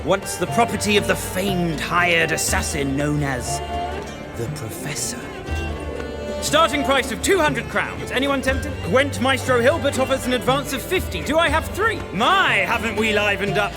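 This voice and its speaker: A man's voice: high-pitched, expressive, normal speed. An actor playing a theatrical male auctioneer with flowery, showy salesman patter, music underneath. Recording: music behind.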